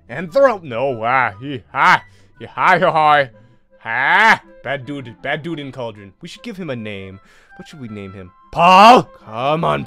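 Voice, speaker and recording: A young adult man giving excitable let's play commentary into a close desk microphone, exclaiming and repeating phrases as he plays. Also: medium pitch. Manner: animated, exclaiming, repeats phrases while playing. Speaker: gaming video host. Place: small indoor room at home. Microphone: close desk microphone, headset on.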